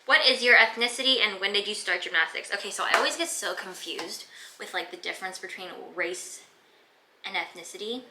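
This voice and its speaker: A woman's voice: medium pitch, fairly quick, echoey room, noisy background. A woman of twenty-five from Canada, chatting casually through a fitness Q and A with lots of filler words. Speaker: fitness vlogger. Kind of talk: casual Q and A chat. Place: her room.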